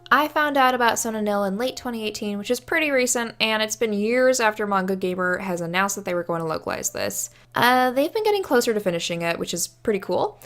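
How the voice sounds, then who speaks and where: A woman's voice: medium pitch, lively intonation, brisk, hardly any pauses, fairly bright tone. A young adult woman giving casual, informal video commentary on games in a chatty, enthusiastic way. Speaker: video creator. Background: background music.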